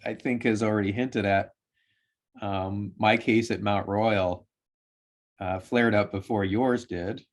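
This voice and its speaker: A man's voice: on the low side, fairly even intonation, unhurried. A man speaking as a guest in a casual discussion, hedging his points with frequent ums.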